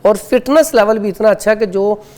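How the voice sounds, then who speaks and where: Calm man giving herbal remedy advice. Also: high-pitched, brisk. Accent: Pakistani Urdu. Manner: calm, instructive, lists remedies step by step. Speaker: herbal remedy guest expert. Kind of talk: TV morning show advice.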